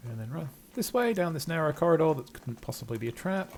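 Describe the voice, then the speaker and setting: A man's voice: fairly high pitch, big pitch swings, fast, darkish tone, slight background noise. An older man giving casual, wry let's play commentary as he plays a video game. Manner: dry, wry. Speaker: game streamer.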